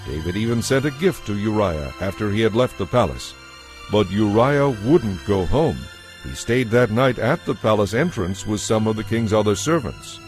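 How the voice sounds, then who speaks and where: A man narrating a dramatized audio Bible from a script, in a measured storytelling manner. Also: on the low side, expressive, faint music behind.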